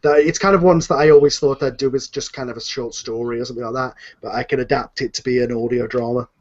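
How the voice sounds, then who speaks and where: A man's voice: medium pitch, steady tone, fast, a little mellow. A man hosting a casual question and answer chat, speaking in a hesitant, rambling way with repeated phrases on a lo-fi connection. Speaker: host, writer and producer of a fan audio drama. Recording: dull lo-fi voice call.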